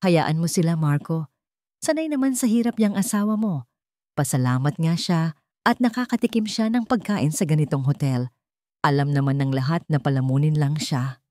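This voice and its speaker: A haughty older matriarch voiced by a woman narrator in a melodramatic audio story. She is low-pitched, contemptuous and dismissive, recorded close and dry. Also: lively intonation, normal speed, a little mellow, dry recording. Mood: scornful. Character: haughty, scornful older mother-in-law.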